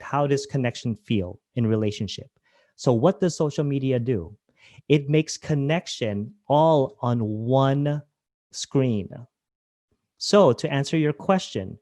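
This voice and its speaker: A man's voice: mid-range voice, a little mellow, no echo. A man from Hawaii presenting a webinar, talking conversationally and asking his audience questions. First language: Filipino language.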